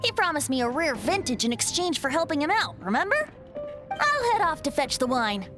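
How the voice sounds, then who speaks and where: A woman's voice: sits high, very animated, brisk, music in the background. A woman voicing a playful, carefree young male bard who is a wind god in human form. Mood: teasing. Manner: breezy, teasing and carefree. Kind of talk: video game voice acting. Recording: game score under dialogue.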